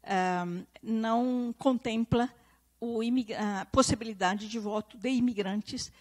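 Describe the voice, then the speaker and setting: A woman's voice: a little high, slow pace, darkish tone. A woman from southern Brazil with an Italian-descendant regional accent, giving an earnest public lecture to a live audience and online viewers, often repeating and restarting phrases for emphasis.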